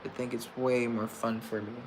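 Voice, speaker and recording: A man's voice: mid-range voice, level tone, normal speed, a little hiss or hum, rough recording. A man chatting casually on a livestream, reading comments aloud and answering them with self-mocking humor. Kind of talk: casual live chat.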